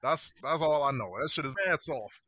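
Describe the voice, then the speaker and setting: A man's voice: fairly high pitch, normal speed, no echo, clean studio sound. An American man chatting casually and telling personal stories in slangy, informal speech. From New Orleans, United States. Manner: slangy, animated, peppered with "like". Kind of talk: casual chat and personal storytelling.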